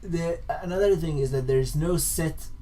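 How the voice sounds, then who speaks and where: A young man from Finland with a Finnish accent, a native Finnish speaker, explaining things casually in a halting way, repeating and rephrasing himself. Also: a little high, dark tone. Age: young adult. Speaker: vlogger. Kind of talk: casual informal explainer to camera.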